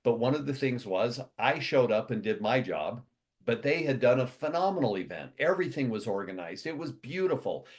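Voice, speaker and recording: A man who runs a company speaking to his members in a motivational address, fast and rambling, with many restarts and long lists. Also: medium pitch, expressive.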